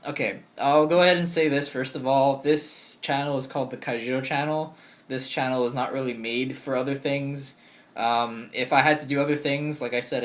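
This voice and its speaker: A man of twenty answering viewer questions in a casual vlog, chatty and upbeat, full of ums and likes. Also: medium pitch. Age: 20s. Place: bedroom with game shelves.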